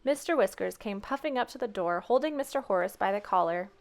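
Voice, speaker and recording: A woman storyteller reading a children's tale aloud with expressive narration. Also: mid-range voice, a little fast, creaky.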